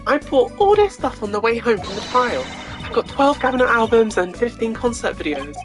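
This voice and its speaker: A woman voicing an excitable, starry-eyed teenage girl music fan in a video game, gushing and exclaiming. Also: a little high, normal speed, pitched above the performer's own voice. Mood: swooning.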